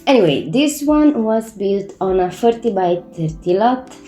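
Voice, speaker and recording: A woman's voice: mid-range voice, expressive, measured pace, warm tone, roomy sound, soft background music. Congested woman with an Italian accent chatting. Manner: chatty, self-correcting, with little laughs. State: congested from a cold. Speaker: Sims builder, channel host. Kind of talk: casual vlog chat. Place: room at home. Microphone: new microphone.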